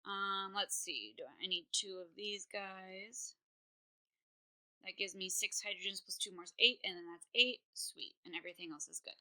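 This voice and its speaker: A woman's voice: medium pitch, moderate pace, pushed and bright. A woman chemistry teacher giving a recorded online lesson, talking casually with many fillers while working problems on screen.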